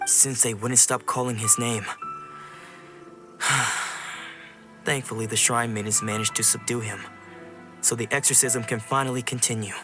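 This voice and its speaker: An earnest young martial disciple acted by a man, with a medium-pitched voice. He explains things politely and reassuringly in video game style, with music underneath. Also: close to an everyday voice.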